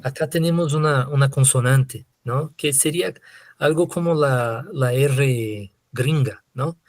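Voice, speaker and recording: Slow Brazilian man lecturing online. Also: medium pitch, darkish tone, dry recording, clean studio sound. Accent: Brazilian Portuguese. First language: Portuguese. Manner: slow and hesitant, many fillers and tag questions. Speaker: literature lecturer. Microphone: computer mic on a video call.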